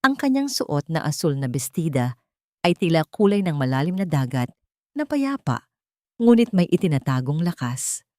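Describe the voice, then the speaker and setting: Female narrator, lower register, dry close recording, measured dramatic storytelling. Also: very animated, mellow, dry recording.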